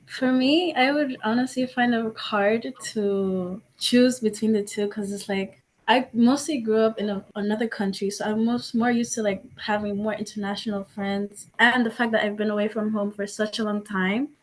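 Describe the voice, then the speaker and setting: A woman's voice: medium pitch, fairly even intonation, moderate pace, a little hiss or hum. A young woman from Mozambique, a podcast guest answering casually with many likes and restarts. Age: young adult.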